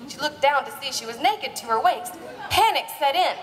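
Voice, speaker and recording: Female live narrator, high and playful, theatrical comic romance reading, picked up from a distance. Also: expressive, moderate pace, a bit bright, some chatter behind. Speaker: narrator and presenter. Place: live stage at a bar party. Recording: echoey live hall, distant mic.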